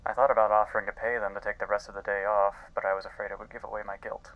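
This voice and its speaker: A man playing an earnest, guilt-ridden scientist in an audio drama, speaking intensely and haltingly as if recording a log. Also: fairly low pitch, level tone, lo-fi sound, lightly acted. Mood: worried, grave. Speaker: voice actor. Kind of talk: dramatic audio-log monologue in a fiction podcast.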